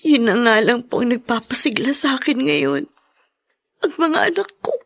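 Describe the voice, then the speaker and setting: An actress playing a gentle, distraught young Filipino wife in a radio drama, tearful, halting and pleading. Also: female voice, fairly high pitch, sing-song, no room sound, heavily acted. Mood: tearful, fearful.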